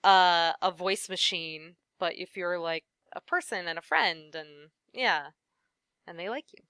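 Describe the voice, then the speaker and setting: A woman voice actress, a guest in a casual interview, giving thoughtful advice and often trailing off softly at the ends of her points. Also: lowish voice, measured pace, clear, resonant tone, no room sound, studio quality.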